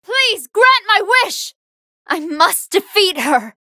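Very high-pitched, earnest android heroine. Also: female voice, sing-song, slow, noisy background, full character voice. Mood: pleading, urgent, then determined. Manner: earnest, polite, emphatic delivery in short battle lines. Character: good-hearted female android fighter, earnest, polite, battling her hunger. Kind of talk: anime fighting game voice lines.

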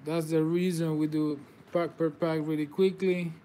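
A man's voice: fairly high pitch, little pitch movement, moderate pace, mellow, slight background noise. A man, whose first language is Spanish, hosts a live trading card break, calling out players and congratulating viewers in slightly non-native phrasing. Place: indoor table with card boxes.

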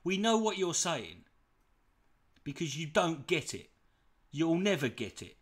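A man's voice: a little high, a little slow. Sarcastic Irish man mocking a video. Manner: mocking, swearing, animated. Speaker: commentator reacting to a video. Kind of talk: profane sarcastic reaction commentary.

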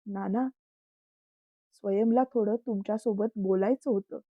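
A woman's voice: mid-range voice, steady tone, a little slow, no echo. A woman voicing a middle-aged mother who speaks politely yet firmly and seriously, in a dull, dry recording. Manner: polite, then firm and serious. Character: middle-aged mother, gentle but firm.

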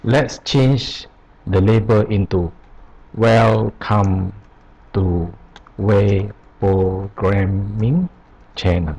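A man presenting an unscripted software tutorial, explaining step by step in short, halting phrases. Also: on the low side, fairly even intonation, husky, dull audio. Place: voice-over on a screen recording.

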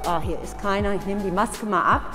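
A woman's voice: medium pitch, fairly quick, bright, forceful tone, soft background music. A woman teacher cheerfully welcoming children into a school entrance hall, holding a handheld mic. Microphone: handheld furry mic.

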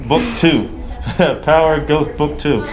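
A man's voice: a little high, slow pace, poor audio. A man chatting casually as a performer in an interview. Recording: busy crowd behind the voice.

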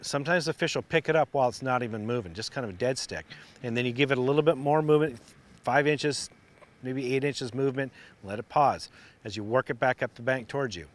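A middle-aged man giving a relaxed, practical fishing lesson on a lakeshore, recorded on a lapel mic. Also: mid-range voice. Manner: relaxed, practical explaining with restarts. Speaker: fishing instructor. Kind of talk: outdoor how-to demonstration. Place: outdoors on a lakeshore.

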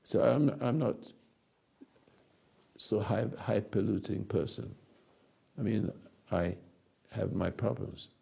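A man in his eighties giving a rambling philosophical talk to an audience on a lapel mic, halting and repeating his words. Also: on the low side, gravelly, dark tone, breathy edge. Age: 80s. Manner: gestures with hands while talking. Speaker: speaker answering audience. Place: dark stage or studio.

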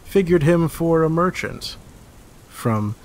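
A man playing a tall, amused street thug in audio fiction, unhurried and taunting. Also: on the high side, lively intonation, low-quality recording. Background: rain and thunder.

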